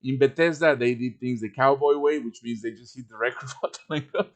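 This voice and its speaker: A man's voice: mid-range voice, fairly quick. A man giving an informal live tutorial, thinking aloud as he works through each step. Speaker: tutorial host. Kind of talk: live software tutorial, casual and improvised. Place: live stream over voice chat.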